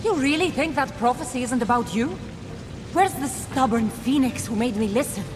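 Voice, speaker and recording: A woman's voice: sits high, normal speed, natural voice. A woman playing a proud, assured warrior goddess, a favored daughter, in a video game. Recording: clear music behind the voice.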